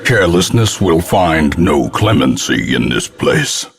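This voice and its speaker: An actor voicing a grim, ominous old ghostly ancestor as a gothic horror game narrator, solemn and theatrical, with a gravelly, husky voice. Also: male voice, very deep voice, sing-song, full character voice. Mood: grim foreboding. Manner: solemn, portentous, deliberate delivery.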